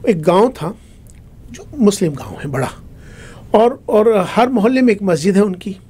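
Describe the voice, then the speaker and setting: A man speaks as an interview guest in a high, raspy voice. He is conversational but emphatic, repeating himself and posing rhetorical questions. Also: animated, normal speed, dark tone. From India.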